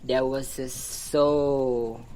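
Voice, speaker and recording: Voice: mid-range voice, level tone, very slow, mellow, a little hiss or hum, rough recording. An amateur radio drama performer playing a fake psychiatrist, a smug, callous scammer who taunts flippantly and drags out words. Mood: mocking. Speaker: group therapy leader.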